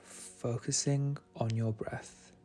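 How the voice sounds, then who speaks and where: A man guiding a meditation in a soothing, gentle and unhurried manner. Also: mid-range voice, fairly even intonation. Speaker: meditation guide. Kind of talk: guided meditation narration. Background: soft meditation music.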